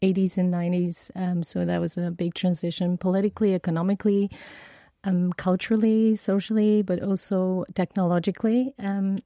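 A native Bulgarian woman in her forties speaks as a studio guest in a lowish, creaky voice. She is reflective and pauses often, with very little room sound. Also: little pitch movement, mellow, dry recording. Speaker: studio guest, education technology academic. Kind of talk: conversational interview. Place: broadcast radio studio.

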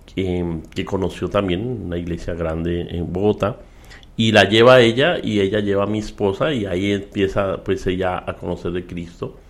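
A middle-aged man with a Colombian accent, an interview guest and minister, telling his story at a measured pace with frequent fillers. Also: low voice, fairly even intonation. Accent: Colombian Spanish. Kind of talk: relaxed testimony in conversation.

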